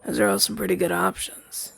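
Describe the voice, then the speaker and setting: A man voicing a gentle, wistful demon familiar in an ASMR roleplay, soft-spoken and hesitant, in a breathy, husky half-whisper. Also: sits high, little pitch movement, fairly quick, no echo, full character voice. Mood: encouraging. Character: gentle, wistful male rogue demon familiar left masterless. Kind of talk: ASMR roleplay audio drama, softly spoken to listener.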